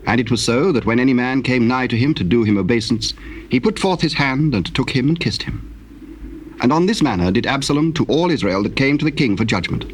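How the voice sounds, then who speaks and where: A man's voice: medium pitch, lively intonation. Solemn British male scripture narrator. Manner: formal, measured storytelling. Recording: noisy old cassette tape with ambience.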